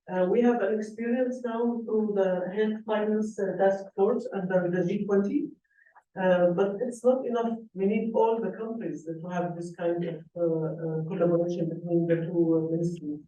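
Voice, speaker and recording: A man on a conference panel giving a halting answer full of fillers. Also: sits high, warm tone, a little hiss or hum. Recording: echoey room, distant dull mic.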